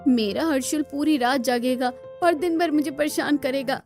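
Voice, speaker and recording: A woman voicing a sharp-tongued, defiant young village daughter-in-law who grumbles and gossips in a cartoon. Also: high-pitched, moderate pace, faint music behind. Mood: grumbling. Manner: complaining, gossipy.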